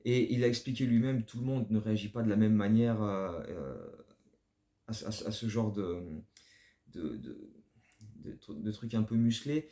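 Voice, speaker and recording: A man's voice: lowish voice, normal speed, dark tone, roomy sound. A man hosting a basketball fan channel, giving casual spoken commentary that rambles and often stumbles over repeated words.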